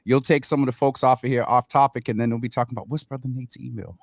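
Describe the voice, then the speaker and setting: A man hosting a relaxed interview and chiming in with friendly affirmations. His voice is dry and close-miked, with mid-range pitch. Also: fast, vocal fry, dry recording. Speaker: host. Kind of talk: casual interview talk.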